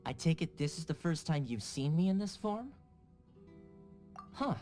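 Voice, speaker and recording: A man's voice: on the high side, lively intonation, unhurried, darkish tone, soft background music. A man playing a playful, easygoing young man in a video game, teasing and breezy.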